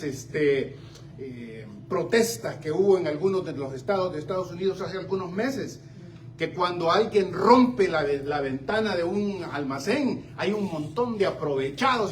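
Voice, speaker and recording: An older man from Central America preaching a sermon, swinging between loud exclamations and quiet asides. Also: a little high. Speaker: pastor. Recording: slightly distant mic with faint room noise.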